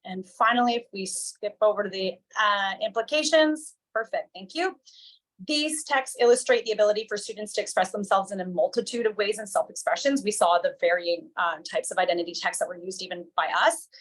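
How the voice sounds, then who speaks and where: A woman presenting research at an academic symposium in a measured way, often pausing on "um". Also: mid-range voice, a bit bright, low-quality recording. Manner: measured, with frequent ums.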